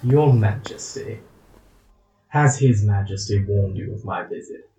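A man playing a sinister, coldly polite royal chancellor in a period audio drama, formal and deliberate. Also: lowish voice, dark tone, a little hiss or hum, close to an everyday voice. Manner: formal, over-courteous, deliberate. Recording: roomy echo, distant from mic.

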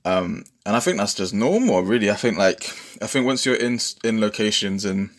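A man's voice: lowish voice, expressive, moderate pace. A man hosts a podcast. His delivery is casual and informal. He rambles a little, circling back over phrases as he works out his thought. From Bristol, England. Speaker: podcast host.

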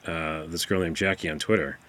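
Low-voiced man hosting a casual podcast, chatty and excitable, talking from a distance to the mic. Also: a little fast. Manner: chatty, excitable, reads book blurbs aloud. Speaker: podcast host. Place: studio room, sitting back from the mic. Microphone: far from the microphone.

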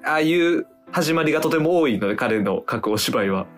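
A man's voice: on the high side, lively intonation, brisk, soft background music. A man speaking as a guest actor in a casual radio after-show chat. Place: radio studio.

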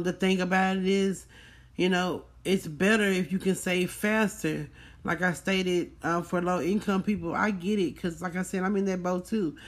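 Female vlog host, informal and conversational, talks directly to followers and repeats points for emphasis. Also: mid-range voice. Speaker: host of a book club vlog. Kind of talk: casual chat to followers.

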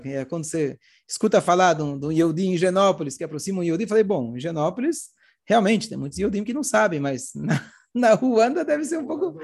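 A Brazilian man, hoarse with a cold, telling religious stories informally and acting out the dialogue as he goes. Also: high voice, darkish tone. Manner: acts out dialogue while retelling. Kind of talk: animated informal religious storytelling talk.

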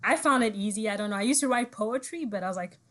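A woman's voice: medium pitch, quick pace, a bit bright. A woman in her twenties from Ivory Coast and Zimbabwe, whose first language is French, telling her story as a guest in a casual interview with frequent laughs and fillers. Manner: chatty, laughs often, trails into fillers. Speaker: guest musician.

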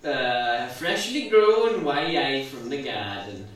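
A man's voice: mid-range voice, lively intonation, slow pace, a bit bright. A man voicing a chatty, agreeable Newcastle venue worker with a put-on Geordie accent, in improvised comedy role-play. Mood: chirpy, bemused. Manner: peppers talk with catchphrases. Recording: echoey, distant mic, faint noise.

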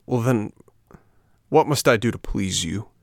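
A man's voice: on the low side, unhurried, creaky, no room sound, studio quality. A man voicing a young wooden puppet boy in a storybook, indignant and cheeky, with a questioning, defiant manner.

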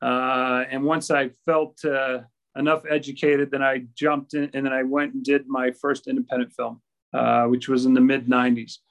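A man's voice: medium pitch, little pitch movement, moderate pace. A middle-aged man from the US Midwest talking casually as an interview guest, informally doubling back on his phrases. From US Midwest, grew up in Minnesota. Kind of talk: casual conversational recollection.

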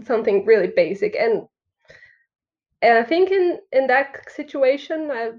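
A woman's voice: on the high side, animated, measured pace. Young woman, slightly muffled audio. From Brazil. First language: Portuguese. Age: young adult. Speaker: podcast guest, student. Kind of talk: casual chat.

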